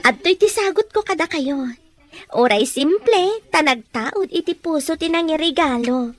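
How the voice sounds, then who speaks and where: A woman playing a sweet, humble young mother-to-be, gentle and affectionate, in an Ilocano audio drama. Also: sits high, expressive, measured pace. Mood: warm, shy.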